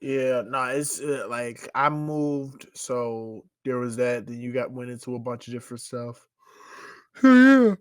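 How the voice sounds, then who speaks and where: A man's voice: medium pitch, animated, measured pace, clear, resonant tone, no room sound. A man in his twenties co-hosting a podcast, talking loosely and rambling in casual chat. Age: 20s. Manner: loose, rambling, leans on "you know what I'm saying". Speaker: podcast co-host.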